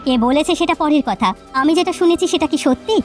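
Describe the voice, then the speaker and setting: A woman voicing an indignant older village wife in an animated folk tale, reproachful and protesting. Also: high-pitched, fast, soft background music, natural voice.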